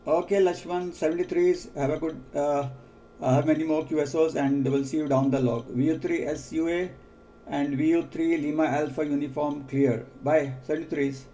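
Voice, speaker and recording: An Indian-accented man working as an amateur radio operator, measured and repeating key details in a procedural exchange. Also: on the high side, fairly even intonation, mellow, a little hiss or hum.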